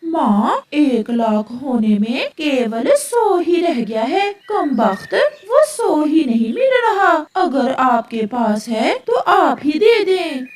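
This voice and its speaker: A woman voicing a boastful, chatty married female crow in a cartoon, with an excitable, gossipy way of talking. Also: sits high, takes it slowly, few pauses, exaggerated voice. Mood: pleading. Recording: echoey, noisy, distant microphone.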